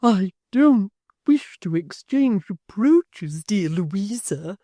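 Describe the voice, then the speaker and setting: A timid, tearful spinster, as a woman voices her, speaking slowly in a soft, faltering, pleading way. The voice is mellow and dry, with a fairly lively lilt. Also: fairly low pitch, no room sound, pitched above the performer's own voice. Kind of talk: audiobook character voice.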